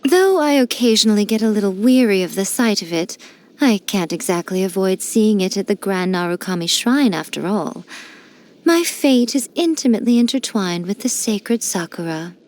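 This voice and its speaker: An actress voicing a sly, elegant fox-spirit shrine priestess in a game, teasing and playfully condescending. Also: female voice, medium pitch, lively intonation, moderate pace, a little mellow, lightly acted. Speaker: game character. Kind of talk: studio game voice lines.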